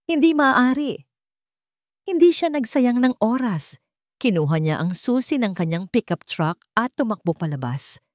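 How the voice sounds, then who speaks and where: A woman's voice: a little high, expressive, a little slow, a little mellow, no echo, clean studio sound. A woman with a Filipino accent narrating a scripted dramatic story.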